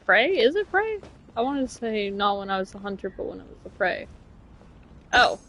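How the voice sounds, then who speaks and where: A woman's voice: medium pitch, big pitch swings, normal speed, a bit bright, low-quality recording. A woman game streamer giving casual live commentary, musing aloud to herself as she plays. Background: faint game music.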